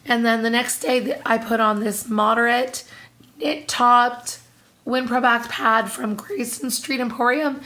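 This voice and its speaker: A woman in her thirties with a hoarse, husky voice, still recovering from losing it, chatting casually to camera with lots of fillers. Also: fairly high pitch, fairly even intonation, a little slow, sharp tone. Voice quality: husky, strained. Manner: chatty, apologetic, many fillers. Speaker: vlogger. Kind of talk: casual monthly haul-style chat to camera.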